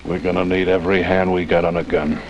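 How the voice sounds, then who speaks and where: A tough captive rebel in a vintage western drama. His low voice is blunt and scornful, needling with grim menace. A light hiss sits on the old TV soundtrack. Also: little pitch movement, muffled recording. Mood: impatient. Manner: blunt, taunting, drawn-out menace. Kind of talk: 1950s TV western acting.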